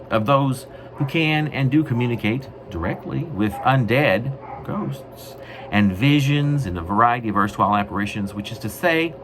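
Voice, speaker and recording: A man reading his own poetry in a wry, mock-grandiose way, with deliberate, literary phrasing. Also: medium pitch. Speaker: poet reading own work. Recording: noisy amateur recording.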